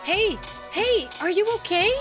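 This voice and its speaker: A woman's voice: very high-pitched, lively intonation, unhurried, over music, voice pushed up. A woman voicing a caring, nagging young maid fussing over her roommate in a visual novel game. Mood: worried.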